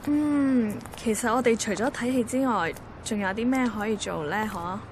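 An actress playing an evasive, quietly dissatisfied young girlfriend in a radio drama, hesitant and understated, with a Hong Kong Cantonese accent. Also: fairly high pitch, normal speed, noisy background, natural voice. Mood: subdued.

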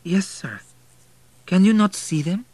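A man's voice: high voice, expressive, unhurried, warm tone, no room sound, voice pushed up. A man voicing a devoted, desperate twelve-year-old boy in an audiobook, crying out and pleading. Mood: dutiful, anxious.

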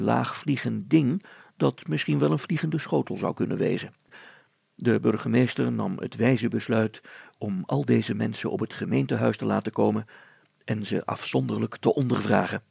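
A man narrating a story as a storyteller, with expressive, scripted delivery. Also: mid-range voice, creaky, breathy edge, no echo.

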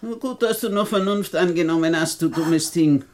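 An actress playing a shrewd, mocking older lady in a German radio crime comedy, speaking in a wry, deliberate way. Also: fairly low pitch, noisy background, lightly acted. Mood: amused, forgiving.

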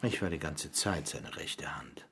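An actor playing an ambitious, defensive politician with a bitterly sarcastic, indignant manner in a radio drama. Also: male voice, very deep voice, animated, unhurried, creaky, husky, full character voice. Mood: defensive, cutting. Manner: indignant, sarcastic, swinging from hushed to loud.

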